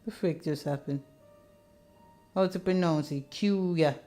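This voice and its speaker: Female let's-player, low register, narrates story text and drops into casual commentary, light music behind her. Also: measured pace, dark tone. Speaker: gamer narrating a playthrough. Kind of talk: reading visual novel aloud with casual asides.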